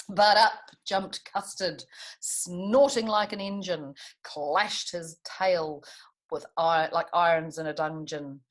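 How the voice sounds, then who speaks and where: A woman reading picture books aloud to young children in an expressive way, with chatty asides and little tag questions. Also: low voice. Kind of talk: animated picture-book read-aloud with asides to young listeners.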